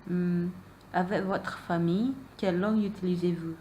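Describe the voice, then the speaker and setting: A woman's voice: fairly low pitch, fairly even intonation, moderate pace, mellow, slight background noise. A young woman, a non-native speaker whose first language is Malay, asking interview questions hesitantly, with many fillers and repeated answers. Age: young adult. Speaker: student interviewer.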